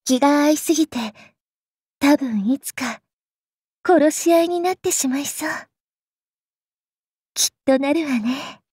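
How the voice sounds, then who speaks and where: A woman voicing an aloof, gloomy yet playful young royal lady in an anime game, refined and softly ironic. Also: sits high, measured pace, long pauses, no room sound, clean studio sound. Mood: amused, ominous. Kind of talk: anime game character voice acting.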